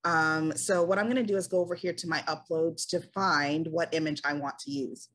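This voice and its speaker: A woman's voice: deep voice, steady tone, moderate pace, fairly bright tone. A woman talking through a casual screen-share tutorial as a business coach, chatty with many ums, her voice tired and a bit scratchy.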